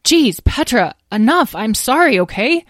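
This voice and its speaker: Angry young dragon brother female performer, storybook. Defiant, confrontational, demanding, raised pitch, dry sound. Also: big pitch swings, fast, no room sound. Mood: annoyed.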